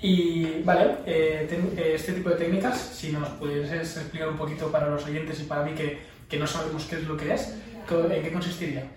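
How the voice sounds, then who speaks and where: A man's voice: fairly high pitch, little pitch movement, normal speed, darkish tone. A man hosting a sports podcast, reading a guest introduction and asking questions. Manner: brief backchannels, reads guest introduction. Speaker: podcast host. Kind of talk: podcast interview. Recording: echoey room, distant mic.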